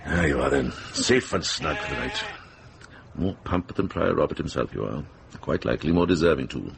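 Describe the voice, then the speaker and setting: A man playing a wise, wry older medieval monk in a radio drama, composed and unhurried in manner. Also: rumbling low, lively intonation, gravelly, crowd noise, heavily acted. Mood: wry, fond.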